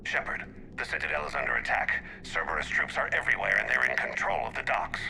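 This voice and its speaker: An actor voicing a grave, controlled male alien assassin in a sci-fi video game, gravelly and urgent, over a radio call. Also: mid-range voice, expressive, fast talker, bright, forceful tone, slight background noise, full character voice.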